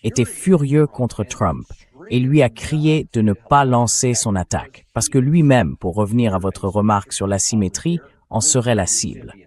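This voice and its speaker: A man's voice: medium pitch, expressive, normal speed, darkish tone. A man hosting a political interview, speaking in a dubbed news commentary style. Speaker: interview host.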